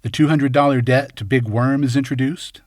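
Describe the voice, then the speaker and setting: A man's voice: medium pitch, steady tone, moderate pace, a little mellow. A man reading scripted film commentary as host, in a wry, tongue-in-cheek way. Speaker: video essay host.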